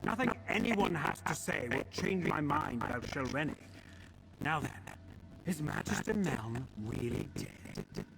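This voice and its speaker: A condescending, stubborn wizard lord in a fantasy game. His voice is slightly high with plenty of creak, delivered with pompous, sneering disdain. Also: animated, unhurried, low-quality recording. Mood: smug disdain. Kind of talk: video game character dialogue.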